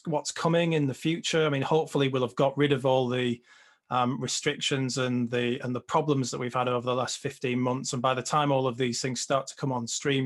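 A man interviewing a golf manager, asking long, musing questions with some hesitations. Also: medium pitch.

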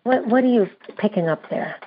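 A woman calling in to a show, speaking hesitantly with a slightly raspy voice and many fillers. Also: mid-range voice, lively intonation, normal speed. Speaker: caller. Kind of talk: call-in conversation. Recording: muffled lo-fi audio.

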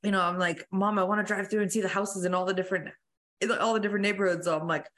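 A woman hosts a podcast in a casual, enthusiastic style. Her voice is medium in pitch. She talks effusively, dropping "like" and "you know" throughout. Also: steady tone, very fast, a bit bright. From moved from Italy to the United States as a young child. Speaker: podcast host.

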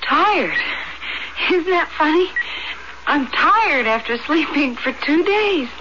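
A woman's voice: sits high, expressive, slow pace. An actress playing a dazed, wistful and trusting young woman in hospital, in an old-fashioned radio drama. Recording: dull, very noisy radio broadcast tape.

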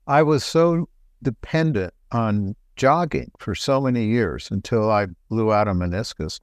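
A middle-aged man, a doctor co-hosting a health talk show, explaining things in a relaxed, conversational way. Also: fairly low pitch, unhurried, no echo, studio quality. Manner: explanatory, relaxed, laughs lightly.

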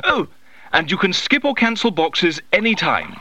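Excitable man, theatrical delivery, very animated, bright, audio drama advert. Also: high voice, moderate pace, no room sound, soft background music, full character voice. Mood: gleeful, excited. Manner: theatrical, enthusiastic. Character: excitable middle-class man leaving a playful message for a friend. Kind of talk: in-character scripted advert.

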